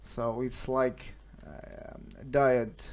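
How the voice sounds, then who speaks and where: A man with a Russian accent chatting casually as an amateur radio operator, hesitant and halting as he searches for words. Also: medium pitch, level tone, a little mellow. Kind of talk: casual radio contact chat. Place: at home, indoors.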